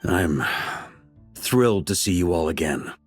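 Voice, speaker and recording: An actor playing a relaxed, good-humored grandmaster knight, speaking in an easygoing, wry way. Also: male voice, deep voice, a little slow, slightly rough, soft background music, full character voice. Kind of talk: game voice acting.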